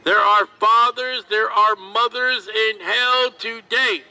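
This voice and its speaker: A man preaching outdoors at a market, his voice pitched very high. He speaks very slowly, stretching his words. His tone is sharp and piercing, picked up from across the street. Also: steady tone, clear, resonant tone, poor audio. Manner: slow, drawn-out shouted preaching. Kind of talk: open-air street preaching.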